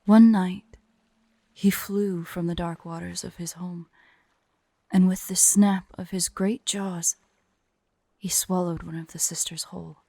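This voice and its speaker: A woman voicing a haughty, vain, teasing female dragon who is secretly fond, in an intimate, breathy, imperious ASMR roleplay style. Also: fairly low pitch, steady tone, warm tone, dry recording. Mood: storytelling, grave. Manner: slow, imperious, playful, breathy near-whispers at times.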